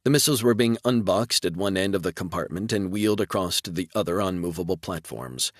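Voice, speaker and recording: Low-pitched man narrating military sci-fi. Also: expressive, fairly quick, dry recording, studio quality. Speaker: audiobook narrator.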